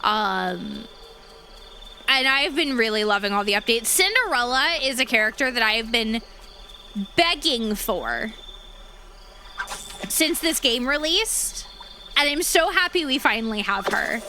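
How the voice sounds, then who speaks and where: A bubbly woman streamer chats with her viewers from her home setup, sweet and quick to thank them. Her voice is high, lively and bright, though it is going hoarse. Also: slight background noise. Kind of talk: casual live-stream chat while gaming.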